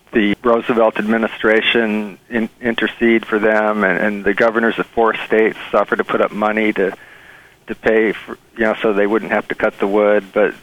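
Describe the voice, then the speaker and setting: A man being interviewed as a bird researcher, telling stories in an earnest, enthusiastic, halting conversational way. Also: on the low side, lo-fi sound.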